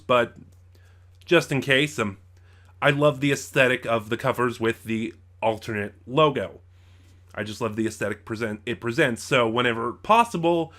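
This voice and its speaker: A man presents an informal review as host of his own show. He talks conversationally and haltingly, often breaking off and rewording what he has just started to say. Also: medium pitch, fairly bright tone. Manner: halting, conversational, circles back and rephrases. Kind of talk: informal review to camera or mic, loosely scripted.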